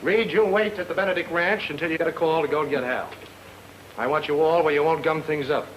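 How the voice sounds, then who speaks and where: An actor playing a tough, take-charge private detective with clipped, slangy, hard-boiled delivery in 1940s film noir style. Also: male voice, a little high, fairly even intonation, brisk, a little hiss or hum, lightly acted, low-quality recording. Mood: brisk, commanding. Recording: old film soundtrack with background music.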